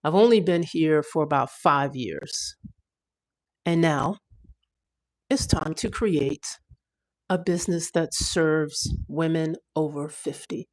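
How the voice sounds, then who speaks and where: A woman's voice: deep voice, slow pace, pauses often, low-quality recording. A woman in her fifties hosting a casual livestream, talking in a chatty, rambling way with fillers and restarts. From United States. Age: 50s. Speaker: livestream host.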